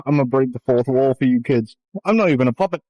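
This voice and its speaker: A man's voice: mid-range voice, quick pace, no room sound, highs cut off. A man improvising a comedy puppet show in mock showman patter, rambling and swearing crudely. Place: online VR chat session.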